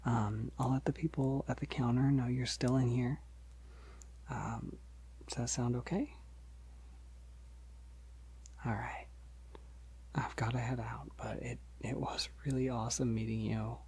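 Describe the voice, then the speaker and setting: A man playing a polite, gentle, shy vampire in an ASMR roleplay, speaking softly and hesitantly to reassure the listener, often near a whisper. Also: mid-range voice, little pitch movement, husky. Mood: friendly, encouraging. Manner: hesitant, reassuring, halting with soft chuckles.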